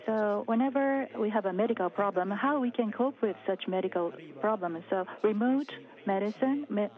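A woman's voice: medium pitch, normal speed. A woman interpreting into English, hesitant, with many fillers and restarts. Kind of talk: simultaneous interpretation. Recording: phone-like narrow-band feed.

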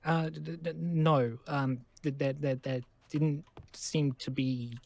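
A man's voice: on the high side, fairly even intonation, takes it slowly, vocal fry, a little mellow, heavily acted. A man playing a nervous, friendly boy in an improvised game roleplay, stammering and repeating words in bursts. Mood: nervous, hopeful. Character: nervous, eager, friendly lone boy living in abandoned school.

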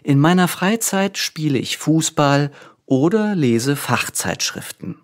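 A man reads a first-person text for language learners at an unhurried pace. His delivery is measured and deliberate, with each sentence spoken clearly. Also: fairly high pitch, expressive. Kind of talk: slow scripted reading for learners.

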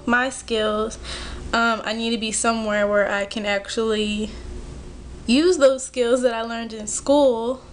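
A woman's voice: a little high, slight background noise. A woman in her twenties chatting casually to her viewers while she does her makeup, full of "like" and "you know". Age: 20s. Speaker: beauty vlogger. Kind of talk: casual chit-chat while doing makeup. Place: bedroom at home. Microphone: built-in camera mic at close range.

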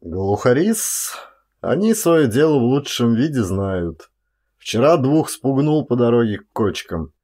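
A man's voice: mid-range voice, big pitch swings, measured pace, warm tone. A man voicing a staid, hospitable rustic forest warden with folksy, sedate speech in an audiobook. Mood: folksy, pleased.

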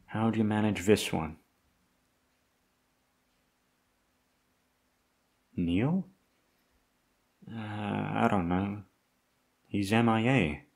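A man playing a tough, protective, foul-mouthed bodyguard with a husky voice in an ASMR audio roleplay. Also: lowish voice, heavily acted. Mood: gentle, weary. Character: tough protective male bodyguard, foul-mouthed, tender toward his charge.

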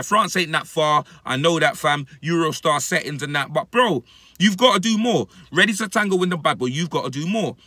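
A man in his thirties with a London accent, giving an animated, emphatic fan rant to camera in heavy, repetitive street slang. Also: high-pitched, dry recording. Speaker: football fan reacting to his club. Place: inside a parked car. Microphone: phone at arm's length.

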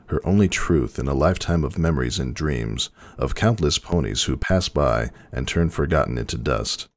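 A man narrating a story dramatically in a measured, solemn manner. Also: extremely low pitch, lively intonation, no room sound, clean studio sound.

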